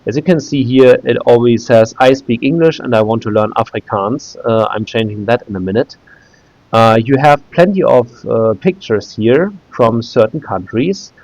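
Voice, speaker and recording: A man's voice: medium pitch, darkish tone, no room sound, low-quality recording. A German man in his thirties, a native German speaker talking in English, walking through a website casually with frequent fillers and restarts. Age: 30s. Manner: hesitant, many "uh" fillers and restarts. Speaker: video creator. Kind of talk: casual screen-recorded walkthrough.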